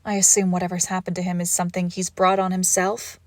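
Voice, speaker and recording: A woman playing a suave, controlled villainous ex-lover in an intimate audio roleplay, with measured formal phrasing and soft breathy pauses. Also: on the low side, monotone, no echo, lightly acted. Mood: exasperated, protective. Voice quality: creaky, often dropping into whisper. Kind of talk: scripted romantic audio roleplay, intimate and slow.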